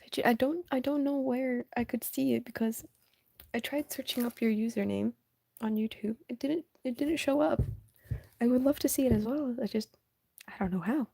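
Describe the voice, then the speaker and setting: A young woman chatting casually on a live stream while she draws, soft and half-whispered, sniffly, her voice going hoarse. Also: a little high, moderate pace, no room sound. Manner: soft, often half-whispered, hesitant and rambling.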